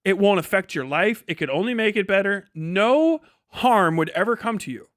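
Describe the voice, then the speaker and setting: A man's voice: high voice, animated, moderate pace, fairly bright tone, no echo, studio quality. A man hosting a livestream, giving casual, sarcastic and mocking reaction commentary full of swearing. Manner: sarcastic, mocking, laughs often. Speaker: livestream host.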